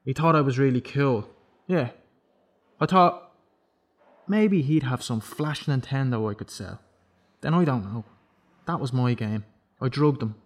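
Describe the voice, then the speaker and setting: A man performs a remorseful killer in an audio drama, confessing from prison. His delivery is halting and self-loathing, in a naturalistic style at a middling male pitch. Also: close to an everyday voice. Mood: bitter, self-loathing. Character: remorseful imprisoned killer confessing to a victim's mother. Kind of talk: naturalistic radio drama acting.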